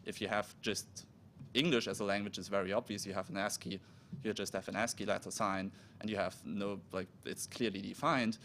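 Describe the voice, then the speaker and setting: A man whose first language is German gives a technical talk at a conference in a fairly low voice. He speaks haltingly, breaking off words and starting over. A faint rumble sits under the recording. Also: steady tone, a bit bright. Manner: halting, with restarts and self-corrections. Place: conference room.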